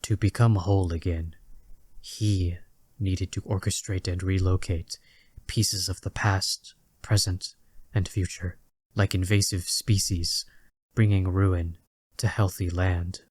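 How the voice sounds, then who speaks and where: Low-voiced man narrating a dramatic story. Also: steady tone, slow, a little mellow, breathy edge, no echo.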